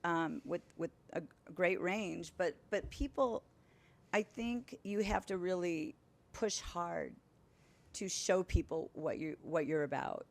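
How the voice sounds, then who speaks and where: A middle-aged woman sits in as a guest and talks in a casual, emphatic way, with a lively push behind her points. Her voice sits a little low for a woman. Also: takes it slowly, vocal fry. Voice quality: powerful voice. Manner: animated, emphatic storytelling. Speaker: singer and entertainer, guest. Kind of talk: casual interview chat.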